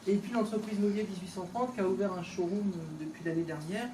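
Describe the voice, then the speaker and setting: Female local official, mid-pitched, informal unscripted speech with hesitant conversational flow; distant pickup with clear background noise. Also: fairly even intonation. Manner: conversational, halting off-the-cuff delivery. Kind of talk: informal opening speech at an exhibition. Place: indoors, tourist office exhibition hall. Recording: echoey room, distant mic, background noise.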